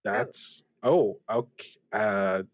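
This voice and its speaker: A man's voice: fairly low pitch, big pitch swings, very slow, creaky, full character voice. A man playing a young student radio host in an improvised audio drama, casual and stammering as he hosts. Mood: curious, uneasy. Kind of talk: improvised actual-play radio show drama.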